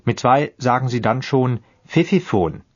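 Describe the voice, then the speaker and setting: A man's voice: mid-range voice, lively intonation, measured pace, lo-fi sound. A man reading an experimental radio piece with a dry, declamatory manner and sudden shifts in tone.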